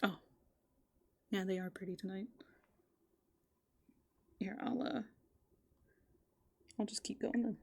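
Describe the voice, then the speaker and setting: A woman chatting softly and casually in a whimsical, musing ASMR roleplay as a playful raccoon character, close to the microphone. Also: mid-range voice, slow pace, creaky, pauses often, slightly rough.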